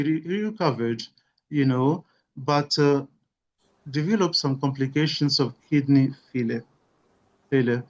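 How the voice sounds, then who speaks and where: Male webinar lecturer, mid-pitched, measured delivery with many fillers, muffled call audio. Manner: measured, with frequent "you know" and "uh". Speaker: webinar speaker. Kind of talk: academic lecture. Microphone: video call.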